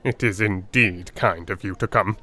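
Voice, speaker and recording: A man's voice: fairly low pitch, normal speed, pushed and bright. Deferential yet self-satisfied Victorian police detective played by a male reader, eager, for audiobook drama. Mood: effusive.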